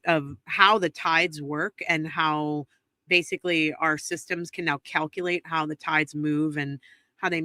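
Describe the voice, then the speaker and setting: A woman's voice: deep voice, pushed and bright, dry recording. A congested woman co-hosting a true crime livestream, talking casually and thinking aloud with many ums and restarts. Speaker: true crime stream co-host. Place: home studio near the DC area. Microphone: close streaming mic.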